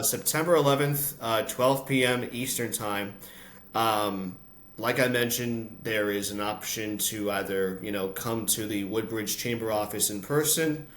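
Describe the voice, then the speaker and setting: An American man talking conversationally as an interview guest, telling personal stories. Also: on the low side. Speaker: interview guest, announcer and voice-over artist. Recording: roomy echo, noticeable background noise.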